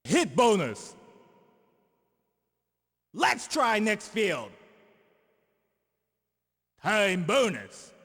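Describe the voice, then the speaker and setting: A man voicing an excitable, exclamatory fishing guide who announces and cheers the player on in an arcade video game. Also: high-pitched, extremely slow, pauses often, breathy edge, big-room sound, full character voice. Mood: encouraging, then calm announcing. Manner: exclamatory, theatrical.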